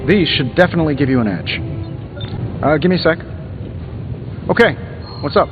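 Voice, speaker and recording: An actor voicing a wisecracking genius inventor superhero in a video game, glib and sarcastic. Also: male voice, fairly high pitch, lively intonation, normal speed, natural voice. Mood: breezy, helpful. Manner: glib, sarcastic, breezy banter. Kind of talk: video game dialogue acting. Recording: dull game audio, long reverb, music.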